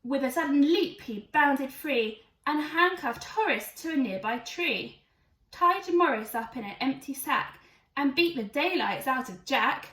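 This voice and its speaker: A woman with a high voice reads a rhyming storybook to children. Her delivery is expressive and emphatic. The recording is roomy and slightly distant. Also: unhurried. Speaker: teacher reading to children. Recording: echoey room, away from mic.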